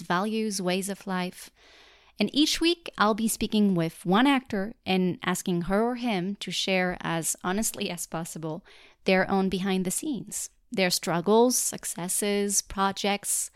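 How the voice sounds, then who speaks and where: A woman's voice: medium pitch, smooth, no echo, clean studio sound. A young woman from France with a French accent, earnestly reading a scripted podcast trailer as its host.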